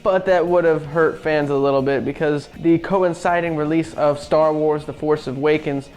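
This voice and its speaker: A man's voice: fairly high pitch, fairly even intonation, normal speed, darkish tone. A man co-hosting a show about video games, talking through his commentary. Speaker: show co-host. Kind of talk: scripted narration and casual opinionated talk about video game films.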